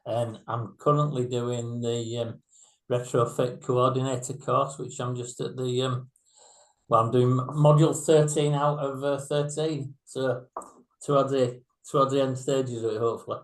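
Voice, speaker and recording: A man's voice: medium pitch, little pitch movement, a little slow. A man, a building surveyor, introducing himself hesitantly on a webinar video call, with many fillers. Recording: echoey room.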